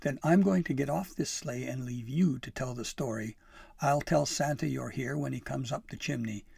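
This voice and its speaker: A man's voice: mid-range voice, moderate pace, creaky, darkish tone, husky, dry recording, studio quality. A man voicing a feisty young female elf in a storybook, stern, indignant and exasperated but fond underneath. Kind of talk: acted storybook dialogue.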